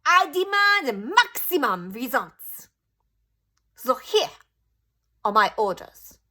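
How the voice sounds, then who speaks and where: A woman voicing a cruel, furious female witch leader who shrieks out slow, drawn-out tirades. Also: high-pitched, big pitch swings, sharp tone, pitched above the performer's own voice, heavily acted. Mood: commanding.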